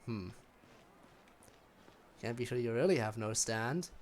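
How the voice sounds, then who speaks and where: A man's voice: mid-range voice, takes it slowly. A man giving casual live gameplay commentary, rambling and musing aloud to viewers. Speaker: game streamer.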